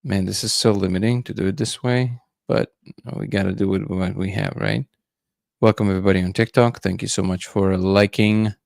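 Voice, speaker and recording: A man's voice: low voice, fairly even intonation, brisk, gravelly, a little mellow, no room sound, clean studio sound. A man hosting a casual livestream, musing loosely and greeting viewers by name. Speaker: livestream host.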